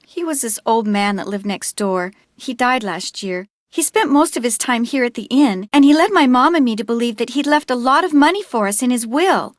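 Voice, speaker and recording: A woman's voice: on the high side, no room sound, clean studio sound, close to an everyday voice. A young woman actor playing an anxious, earnest innkeeper in a video game, with a fretful, confiding manner. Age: young adult.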